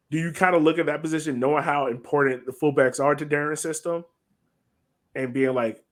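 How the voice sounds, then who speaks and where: A man hosting a soccer podcast in casual chat, informal and rambling as he thinks out loud. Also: medium pitch.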